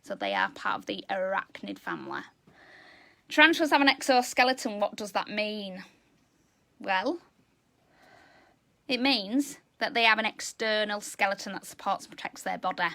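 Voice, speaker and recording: Woman, zoo educator, loud high voice, bright and chatty, live kids' lesson. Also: big pitch swings, moderate pace, vocal fry, pauses often. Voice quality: high-pitched voice. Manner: loud, chatty, enthusiastic, talks to kids. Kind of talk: live educational animal talk.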